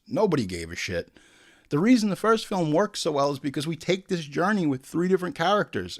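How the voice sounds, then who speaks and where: A man hosting a film commentary show, irreverent and sweary, drifting into comic tangents and acted-out asides. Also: mid-range voice. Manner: irreverent, sweary, digressive, mimics people in asides.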